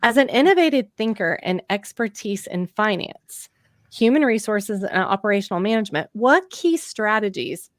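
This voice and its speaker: A woman podcast host, encouraging and full of quick affirmations. Her voice is mid-pitched and recorded close and dry, with no room sound. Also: dry recording. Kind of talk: interview hosting.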